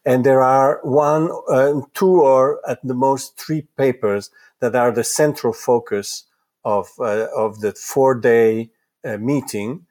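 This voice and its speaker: A man from Italy whose first language is Italian, answering interview questions in a thoughtful, halting way with frequent repeated words. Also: medium pitch, steady tone, a little mellow. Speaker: psychoanalyst guest.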